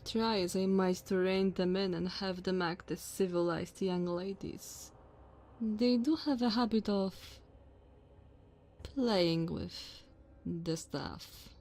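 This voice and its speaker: Woman doing a tall vampire noblewoman for asmr roleplay, really slow and drawn out, haughty, bossy, mocking, talks all formal. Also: medium pitch, steady tone, darkish tone. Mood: stern, formal. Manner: slow, condescending, theatrical formal diction.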